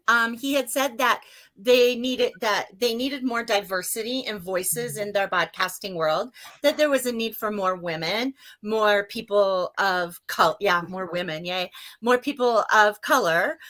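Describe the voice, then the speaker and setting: A woman's voice: a little high, pushed and bright. An older woman telling her story as a guest over a video call, earnest and hesitant. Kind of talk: casual video-call interview.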